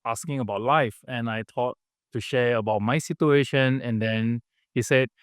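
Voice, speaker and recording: Smooth-voiced Malaysian-born man, halting. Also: medium pitch, dry recording, studio quality. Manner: earnest, halting, with many fillers and restarts. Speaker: podcast guest, business founder. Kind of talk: casual interview storytelling.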